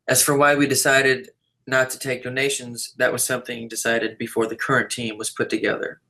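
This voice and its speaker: Male reader for an interview, middle-pitched, delivering written answers in clear, measured statements. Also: level tone, sharp tone, low-quality recording.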